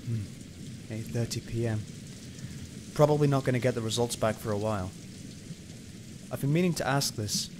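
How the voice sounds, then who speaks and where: A man's voice: mid-range voice, normal speed, long pauses, background noise, natural voice. A man playing a driven, hot-tempered police detective in an earnest, dramatic amateur radio play. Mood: sympathetic, calm.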